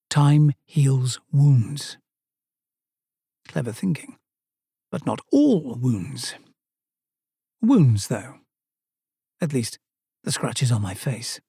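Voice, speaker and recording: A man's voice: mid-range voice, warm tone, breathy edge, no room sound. A man from Sweden narrating a first-person travel story with dry, self-mocking humor.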